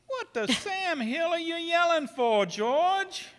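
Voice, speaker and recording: A man's voice: very high-pitched, expressive, takes it slowly, a bit bright, heavily acted. An actor in a radio play as a good-natured, folksy small-town policeman. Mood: puzzled, friendly. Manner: folksy, exclamatory. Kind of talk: live radio play acting. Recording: stage hall with long reverb.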